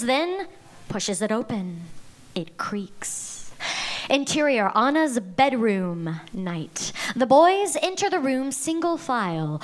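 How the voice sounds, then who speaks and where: A woman reading the stage directions at a live table read in a measured, dramatic way. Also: fairly high pitch.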